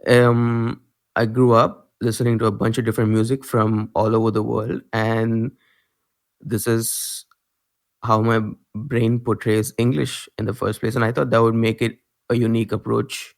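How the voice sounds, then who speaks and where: Young guy w indian accent, chill and chatty, laughs a lot, mid pitch. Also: moderate pace, gravelly. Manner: relaxed, laughs easily, loose filler-heavy phrasing. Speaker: guest musician. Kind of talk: casual interview talk.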